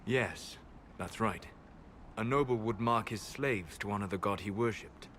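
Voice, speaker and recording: Aloof elven mage, low and measured, faint room hiss behind. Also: male voice. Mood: solemn. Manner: calm, measured, soft-spoken. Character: aloof elven male mage, scholarly and guarded. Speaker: video game character. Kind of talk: game cutscene dialogue.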